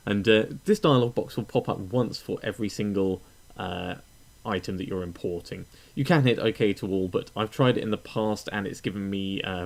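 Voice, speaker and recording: Low-voiced man giving a tutorial. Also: a little mellow. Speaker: tutorial narrator. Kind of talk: casual step-by-step software tutorial.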